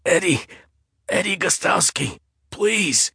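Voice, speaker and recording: A man voicing a frightened male control station worker who pleads in a high, strained voice. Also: expressive, slow, vocal fry, sharp tone, husky, no echo, clean studio sound, full character voice. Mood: pleading.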